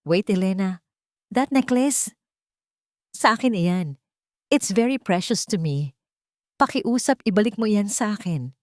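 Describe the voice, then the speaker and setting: A woman's voice: mid-range voice, lively intonation, measured pace, long pauses, darkish tone, dry recording, studio quality, voice pushed up, natural voice. A woman voicing a wronged, dignified wife in an audio story, earnest and pleading with restraint. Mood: pleading, restrained.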